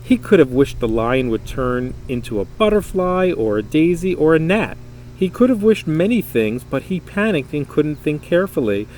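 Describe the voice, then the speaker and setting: A man reading a children's story aloud in an expressive, dramatic, emphatic way. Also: a little high, normal speed, slight background noise, low-quality recording. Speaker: storybook reader.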